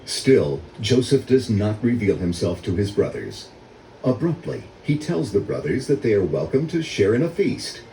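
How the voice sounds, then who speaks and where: A man's voice: on the low side, very animated, normal speed, warm tone. An older man giving scripted narration for a storybook read-along. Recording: roomy, distant, faintly noisy audio.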